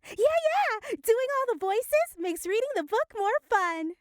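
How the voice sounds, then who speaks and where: A woman's voice: very high-pitched, very animated, unhurried, slight background noise. A woman narrating and co-hosting a playful children's reading lesson. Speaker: narrator and co-host.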